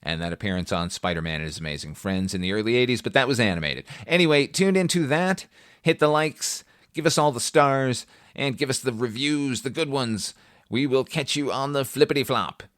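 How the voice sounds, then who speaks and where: A middle-aged man hosting a film review podcast, delivering scripted commentary with wry, deadpan humour. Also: mid-range voice, moderate pace, no room sound, studio quality. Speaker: podcast host reviewing films.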